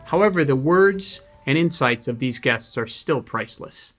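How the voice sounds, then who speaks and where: A man's voice: medium pitch, expressive, normal speed, a bit bright, faint music behind. A man hosting an interview over a video call, enthusiastic and joking at his own expense. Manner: enthusiastic, self-deprecating humor. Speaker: interview host. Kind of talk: casual interview chat.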